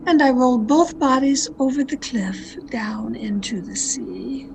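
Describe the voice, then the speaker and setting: An actress playing a jealous, murderous mystery novelist in a radio drama, with deliberate melodramatic delivery over a home call recording. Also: female voice, high voice, a little mellow, slight background noise, rough recording. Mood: resigned confession. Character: jealous, murderous woman mystery novelist confessing her crimes. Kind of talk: dramatic first-person radio mystery narration. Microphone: video call.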